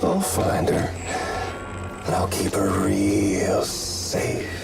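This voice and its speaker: An actor playing a gloating, mocking terrorist villain in a video game, with a taunting, drawling theatrical delivery. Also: male voice, lowish voice, steady tone, extremely slow, gravelly, over loud music, full character voice. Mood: smug menace. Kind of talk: video game cutscene acting.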